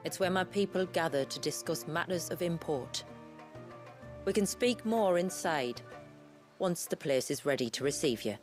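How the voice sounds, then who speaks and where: A woman playing a proud, weary village elder in a video game, with a measured, solemn manner. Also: low-pitched, expressive. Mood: guarded, civil. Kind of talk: video game cutscene acting. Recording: music playing behind the voice.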